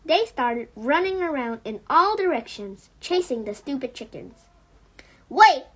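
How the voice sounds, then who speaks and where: A woman reading a children's story aloud as an audiobook, with expressive narration. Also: sits high, moderate pace. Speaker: audiobook reader.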